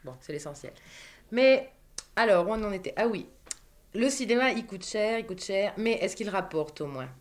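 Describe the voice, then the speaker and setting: A woman's voice: medium pitch, expressive, normal speed. A woman hosting a talk program, speaking in a wry, conversational way with many asides. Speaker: host. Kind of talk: radio interview and commentary.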